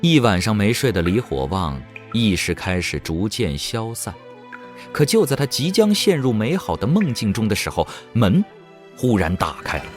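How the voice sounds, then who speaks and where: Low, expressive male narrator. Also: normal speed. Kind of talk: dramatic scripted audiobook narration. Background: faint music.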